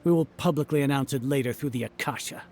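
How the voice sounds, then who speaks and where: A man's voice: mid-range voice, quick pace, darkish tone, close to an everyday voice. A man playing a pompous, cold, contemptuous scholarly official in a video game, speaking formally. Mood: disdainful. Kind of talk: video game character acting.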